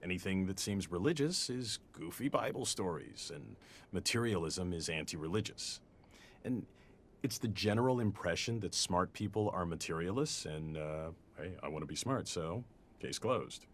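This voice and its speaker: A man's voice: sits low, animated, moderate pace, a little hiss or hum. A man playing a wry, easygoing researcher who talks colloquially in a casual audio drama discussion.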